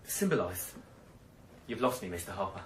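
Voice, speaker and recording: A man's voice: deep voice, sing-song, normal speed, slight background noise, rough recording. An actor playing a nervous, streetwise male police informant in a radio drama, wary and colloquial. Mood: nervous, pleading. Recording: loud music underneath.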